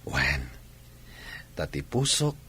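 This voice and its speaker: A man's voice: rumbling low, sing-song, slow, pushed and bright, husky, full character voice. A man playing an earnest, deferential young village suitor in an Ilocano-accented radio drama. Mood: ardent.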